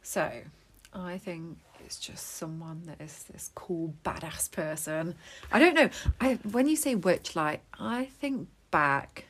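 Playful woman with a lowish voice. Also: slow, a bit bright. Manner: playful, finishes the other host's sentences. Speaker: podcast co-host. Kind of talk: casual chat. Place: sitting on the floor in a home play fort.

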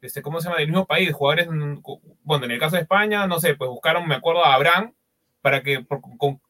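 A man's voice: fairly high pitch, fast talker, pushed and bright. A man, co-hosting football talk and often hunting for words. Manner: searching for words, many fillers. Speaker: football show co-host.

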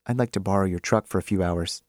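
Man playing earnest frustrated man, plain-spoken, defensive, low pitch, short-story dialogue. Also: quick pace, a little mellow, no room sound, clean studio sound, close to an everyday voice. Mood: pleading. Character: earnest, thwarted man leaving his life behind.

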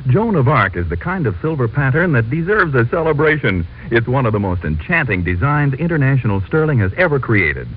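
Animated old-time radio announcer man. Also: mid-range voice, fairly quick, hardly any pauses, husky. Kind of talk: 1940s radio announcing and advertising. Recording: tinny 1940s radio recording.

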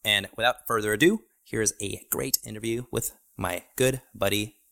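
A man's voice: fairly low pitch, steady tone, moderate pace, vocal fry, bright, forceful tone. A man hosting a podcast interview, talking loosely with lots of fillers, restarts and swearing. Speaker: podcast host. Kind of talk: casual interview chat.

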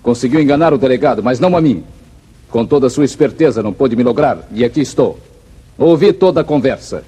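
An actor playing a calm, commanding western hero of the backlands in a 1950s Brazilian radio serial, speaking formally with a Brazilian accent. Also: male voice, medium pitch, quick pace, slightly rough. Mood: confident, commanding. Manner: composed, authoritative, formal. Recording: noisy muffled vintage radio recording.

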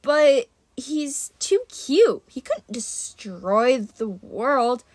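A woman's voice: high voice, big pitch swings, extremely slow, no echo, heavily acted. A woman voicing a wry, protective teenage boy hero in an audiobook, casual and exclamatory.

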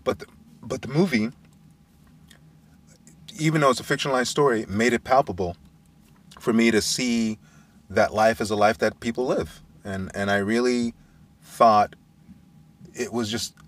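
Brooklyn guy hosting, lowish voice, sounds a little far from the mic. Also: a bit bright. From Brooklyn, United States. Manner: enthusiastic, leads the talk, asks questions. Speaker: podcast host. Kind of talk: casual chat.

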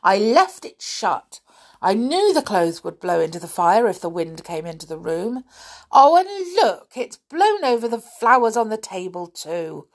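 Woman as a cross storybook nanny, animated pitch. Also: very slow, hardly any pauses, slightly rough, exaggerated voice. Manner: scolding, indignant exclamations. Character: cross, puzzled adult female nursery nanny.

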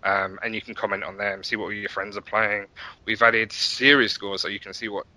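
A man's voice: lowish voice, level tone, normal speed, sharp tone, dry recording, poor audio. A middle-aged man talking casually as an interview guest, explaining how he built something in a self-deprecating way. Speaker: interview guest, website founder and developer.

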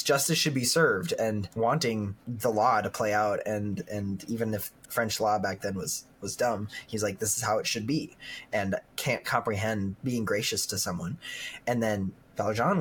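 A man's voice: fairly low pitch, slight background noise. A man co-hosting a podcast, chatting informally and enthusiastically in a slangy way. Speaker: podcast co-host. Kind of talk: casual discussion of stories and films.

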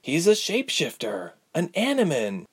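A man voicing a hurt, hushed young woman. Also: on the high side, huge pitch swings, moderate pace, slightly rough, pitched above the performer's own voice, heavily acted. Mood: pained. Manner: strained, quiet, pained. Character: wounded young woman, tired and guarded.

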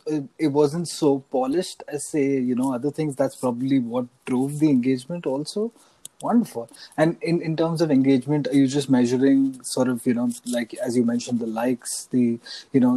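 A man hosts an interview, asking long questions that stop and restart as he searches for words. His voice sits at a middling pitch and sounds dry and close, with no room echo. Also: steady tone, normal speed, dark tone. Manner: hesitant, many restarts and fillers, affirming.

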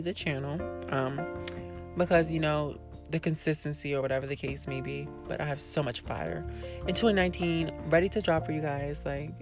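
Hoarse, sassy woman vlogger. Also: sits low. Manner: sassy, blunt, swears freely, talks straight to viewers. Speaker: YouTuber. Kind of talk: casual vlog rant to camera.

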